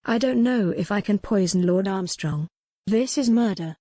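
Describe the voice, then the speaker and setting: A woman's voice: medium pitch, moderate pace, mellow, no echo, studio quality, natural voice. A woman narrator playing a proud young noblewoman, formal and earnest, defiant yet remorseful. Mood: hesitant, troubled.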